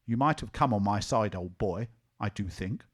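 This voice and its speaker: A man's voice: lowish voice, expressive, moderate pace. A man voicing a stubborn, sulky little girl who speaks sharply and scornfully. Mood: scornful, defiant.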